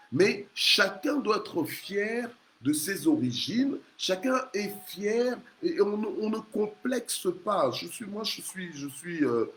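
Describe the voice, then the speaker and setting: A male preacher delivers a sermon in a fairly high voice. He is emphatic and exhorting, repeating his phrases for weight. There is some background noise in the recording. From Congo. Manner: emphatic, repeats phrases, punctuates with hallelujah and amen. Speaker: preacher leading a morning prayer. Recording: clear background noise, ambient sound.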